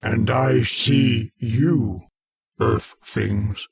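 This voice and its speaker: A man voicing a menacing alien head in a radio horror play, gravelly and husky, drawing out his words. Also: lowish voice, no echo, clean studio sound, heavily acted. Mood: slow menace. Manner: slow, drawn-out menace. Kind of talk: old-time radio horror acting.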